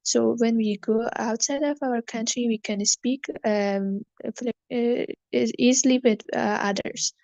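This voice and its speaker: A woman from Afghanistan whose first language is Persian, answering questions in an online English class, halting often with fillers. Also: a little high, vocal fry, darkish tone, no room sound, low-quality recording. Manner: hesitant, many fillers, halting learner English. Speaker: student. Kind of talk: online class speaking practice.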